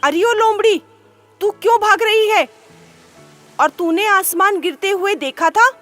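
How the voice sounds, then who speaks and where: A woman's voice: very high voice, very animated, unhurried, soft background music, pitched above the performer's own voice, heavily acted. A woman doing a roaring lion king. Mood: commanding. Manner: loud, roaring demands. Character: commanding male lion king of the jungle.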